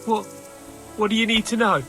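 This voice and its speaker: An actor playing a shaken, bitter male raid survivor in a video game, speaking haltingly. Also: high-pitched, level tone, bright, forceful tone, faint music behind. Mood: shaken, withdrawn. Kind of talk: video game dialogue acting.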